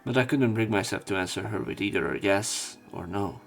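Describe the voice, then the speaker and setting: A man narrating a visual novel playthrough, reading story text and dialogue aloud with some halting pauses. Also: lowish voice, gravelly. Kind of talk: scripted narration and character line reading. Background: game music, keyboard typing.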